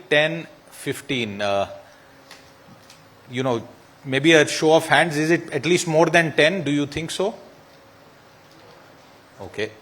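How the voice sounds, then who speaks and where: A man's voice: on the high side, a bit bright. A man moderating a live panel discussion, speaking with frequent hesitations and light jokes. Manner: hesitant, many fillers, jokey asides.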